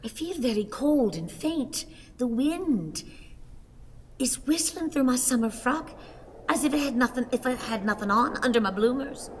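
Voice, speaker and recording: A woman's voice: high voice, lively intonation, moderate pace, long reverb tail, a little hiss or hum. An actress playing a frail, melodramatic old wife in a radio play, plaintive and genteel in her wordy delivery. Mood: weak, plaintive. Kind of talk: theatrical radio play acting.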